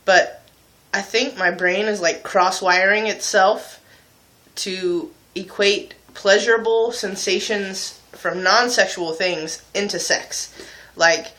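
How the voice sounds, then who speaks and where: A man's voice: high-pitched, steady tone, slow pace, bright, forceful tone, poor audio. A young adult man talking casually and candidly to viewers as a video blogger, in an informal, self-mocking way. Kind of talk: casual confessional chat to viewers.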